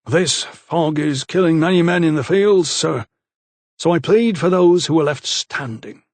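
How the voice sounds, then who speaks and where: A man voicing an insolent, menacing young male troublemaker who speaks calmly with a smirk. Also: a little high, a little slow, dry recording, voice pushed up. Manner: calm, smirking, impudent.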